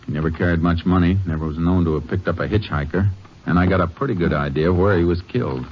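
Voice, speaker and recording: An actor playing a calm, methodical Texas Ranger detective in a 1950s radio drama, reasoning in a plainspoken way. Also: male voice, sits low, fast talker, a little hiss or hum, close to an everyday voice. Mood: explaining. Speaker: Texas Ranger lead and narrator. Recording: dull lo-fi 1950s radio broadcast.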